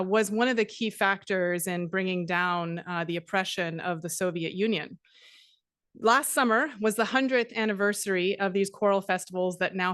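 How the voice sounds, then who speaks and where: A middle-aged woman from Canada giving a conference talk, measured and steady, with frequent fillers. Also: mid-range voice, clear, resonant tone, no echo, clean studio sound. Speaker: conference speaker. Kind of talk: academic presentation.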